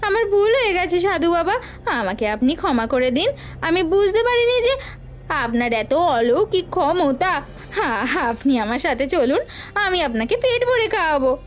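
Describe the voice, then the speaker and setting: A woman playing an excitable village housewife in a melodramatic cartoon, given to exclaiming and beseeching. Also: very high voice, moderate pace. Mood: pleading.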